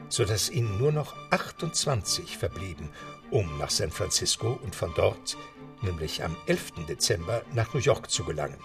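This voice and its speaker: An actor narrating a radio play as an English butler, in a measured storytelling tone. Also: male voice, lowish voice, gravelly.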